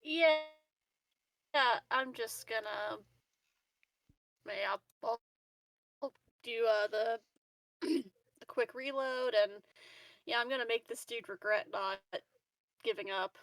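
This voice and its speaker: A woman's voice: fairly high pitch, slow pace, long pauses, fairly bright tone, no room sound, low-quality recording. A woman chatting casually as a player in a tabletop game, hesitant and thinking aloud.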